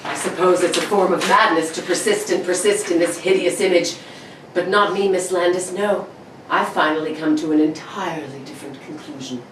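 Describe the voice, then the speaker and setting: A woman's voice: on the low side, brisk, close to an everyday voice. A woman playing an unnerved, sleepless, eerily intense man in a 1940s-style suspense radio drama, theatrical and brooding. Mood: anguished. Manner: theatrical, brooding, nervous laughter. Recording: roomy, distant, faint background noise.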